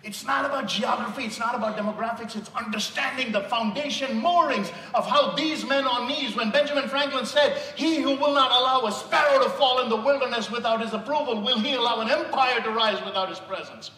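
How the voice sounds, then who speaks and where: A man's voice: very high voice, fairly quick, few pauses. A man from India with an Indian accent, giving an impassioned sermon-style speech as a motivational speaker. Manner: impassioned, rising to loud emphasis. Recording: echoey hall, distant mic.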